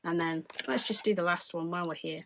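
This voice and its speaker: A woman in her forties, hoarse from losing her voice, chatting through a craft demonstration at her desk. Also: lowish voice, fast talker, fairly bright tone, a little hiss or hum. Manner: chatty, explains as she works. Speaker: craft tutorial host. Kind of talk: casual step-by-step crafting demonstration. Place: craft desk indoors, overhead camera.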